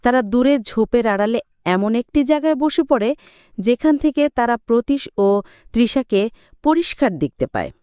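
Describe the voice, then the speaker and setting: A woman's voice: on the high side, lively intonation, moderate pace, warm tone, clear, resonant tone, no echo, studio quality. A woman reading a scripted, dramatic retelling of a film as a movie explainer. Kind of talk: scripted dramatic story narration.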